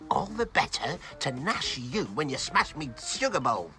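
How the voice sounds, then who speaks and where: A man's voice: fairly high pitch, sing-song, a little slow, sharp tone, soft background music, voice pushed up, heavily acted. A man voicing an elderly, tetchy granny pig who grumbles and complains in a storybook.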